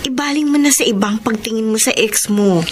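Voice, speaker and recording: A woman's voice: fairly high pitch, a little fast, background noise, close to an everyday voice. An actress playing a jealous, exasperated female confidante in a Filipino radio drama, reproachful and pointed. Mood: reproachful advising.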